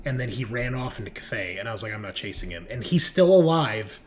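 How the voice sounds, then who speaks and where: A man's voice: fairly low pitch, expressive, fast, a crowd in the background. A man hosting in a casual, enthusiastic way, chatting in slang with plenty of swearing. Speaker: host and game master. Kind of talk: casual chat. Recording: noticeable background noise.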